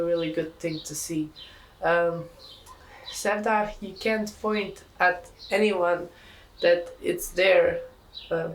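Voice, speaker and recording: Bosnian woman, earnest and thoughtful. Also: on the low side. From Bosnia and Herzegovina. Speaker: student musician. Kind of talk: documentary interview. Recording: distant mic, faint ambient noise.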